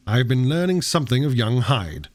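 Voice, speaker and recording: A man voicing a sober, loyal Victorian gentleman lawyer in an audiobook, firm and formal, with a husky voice. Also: mid-range voice, big pitch swings, a little fast, dry recording, close to an everyday voice. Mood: insistent.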